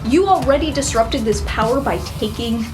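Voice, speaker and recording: A woman game master narrating an improvised tabletop story in a measured, explanatory way. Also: a little high. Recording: music bed behind voice. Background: background music.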